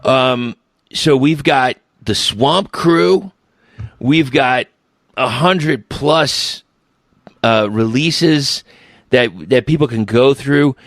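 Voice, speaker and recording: A man's voice: medium pitch, very slow, fairly bright tone, no room sound, studio quality. A man hosting a casual podcast interview, talking in a loose, rambling way with the odd swear word. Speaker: podcast host.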